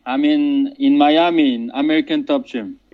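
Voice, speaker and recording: Fighter on a dull line. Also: male voice, a little high, fairly even intonation. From France. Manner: halting, many restarts and fillers, casual slang. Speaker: MMA fighter. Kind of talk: interview answers, remote.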